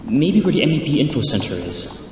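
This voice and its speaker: A man's voice: medium pitch, big pitch swings, brisk, a little mellow, slight background noise, lightly acted. A man playing an earnest, polite and direct young martial arts student in an amateur anime-style audio drama. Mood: determined.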